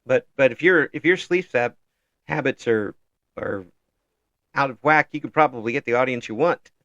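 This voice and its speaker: A man's voice: medium pitch, bright, forceful tone, no room sound, highs cut off. A man with an American accent interviewing in a casual chat, joking and feeling his way through questions. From United States. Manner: jokey, halting questions.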